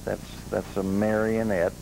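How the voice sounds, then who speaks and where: A man's voice: fairly low pitch, little pitch movement, unhurried, creaky. An American man hosting a children's show with casual show-and-tell chat, on a noisy 1980s TV broadcast tape.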